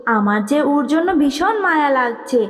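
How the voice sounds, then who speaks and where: Woman as a tender, high-voiced magical helper. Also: expressive, measured pace, big-room sound. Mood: pitying. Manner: tender, compassionate. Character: kindly magical being pitying a helpless girl. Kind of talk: cartoon fairy tale.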